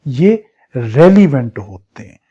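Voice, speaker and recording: A man's voice: medium pitch, very animated, takes it slowly, breathy edge. A man with a Pakistani accent lecturing as a law teacher in an exam crash course, drilling points by repetition and rhetorical questions. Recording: dull, lo-fi muffled audio.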